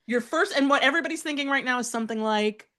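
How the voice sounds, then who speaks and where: A woman's voice: sits high, fast. Storytelling woman comedy co-host. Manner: animated storyteller, builds to punchlines, laughs often.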